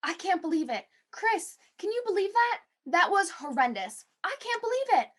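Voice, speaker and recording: Excitable vintage radio co-host, woman performer: exclamatory, high pitch, big melodic swings. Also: moderate pace. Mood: excited dismay. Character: excitable, theatrical female 1940s radio co-host. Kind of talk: 1940s radio acting.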